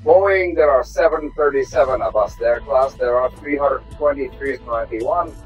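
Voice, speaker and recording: A middle-aged man, a test pilot from Russia, giving a measured, technical tour of an aircraft cockpit. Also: mid-range voice. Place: aircraft cockpit inside a hangar. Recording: slightly dull sound, faint music behind.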